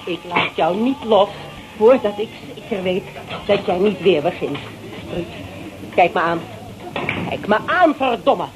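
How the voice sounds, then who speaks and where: A woman's voice: on the low side, lightly acted, low-quality recording. An actress as a firm, direct woman, music behind. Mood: urgent, commanding. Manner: brisk, assertive, businesslike. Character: firm, direct young woman in a pub, Tera. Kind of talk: Dutch radio drama acting.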